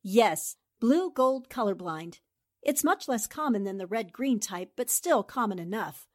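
A woman's voice: medium pitch, animated, a little slow. A woman playing a shrewd, confident newspaper reporter and amateur sleuth, theatrical and assertive in 1940s radio drama style. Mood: confident, triumphant.

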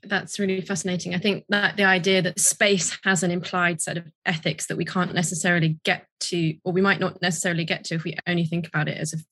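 A woman's voice: fairly low pitch, level tone, quick pace, hardly any pauses, fairly bright tone. A woman interviewing in a reflective, discursive conversational way.

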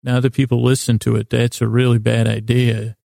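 Super creaky mellow guy doing a sleepy podcast ramble, flat tone, keeps trailing off. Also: mid-range voice, no room sound, studio quality. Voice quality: gravelly, creaky voice. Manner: meandering, halting, trails off mid-thought. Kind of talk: rambling casual bedtime storytelling monologue.

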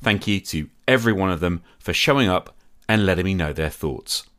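Low-voiced man, animated podcast host. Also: brisk. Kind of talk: scripted podcast monologue.

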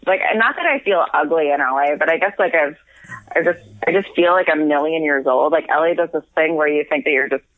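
A woman's voice: low voice, fairly quick, dry recording. An American woman co-hosting by phone, a little tipsy, rambling and giggly with lots of restarts. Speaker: podcast co-host calling in from abroad. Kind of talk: casual chat. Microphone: phone call. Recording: tinny phone line.

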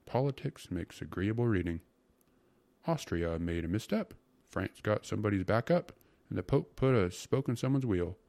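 A man voicing a fussy, gluttonous court secretary in a storybook reading, coaxing and savoring each word with a breathy, stammering delivery. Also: fairly low pitch, expressive, normal speed, a little mellow, no echo. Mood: blissful. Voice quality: breathy, often dropping to a whisper. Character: fussy, gluttonous male court secretary, rapturous about food.

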